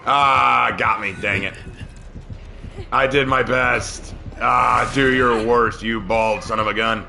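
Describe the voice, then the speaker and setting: Slightly hoarse man streaming a game. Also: mid-range voice, steady tone, slow, background noise. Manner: talkative, opinionated, laughs at the game. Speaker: livestreamer. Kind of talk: casual gameplay commentary.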